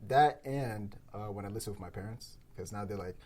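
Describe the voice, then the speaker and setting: A man's voice: fairly low pitch, brisk, noisy background. A man who co-produces a podcast, chatting casually at a round table in informal, slangy language with frequent chuckles.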